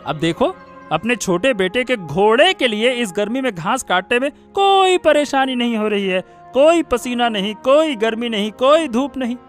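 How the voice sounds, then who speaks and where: A man's voice: very high voice, fairly quick, hardly any pauses, bright, forceful tone, soft background music. A man voicing a sarcastic, complaining village son in a cartoon story. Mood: sarcastic, accusing. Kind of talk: animated moral story acting.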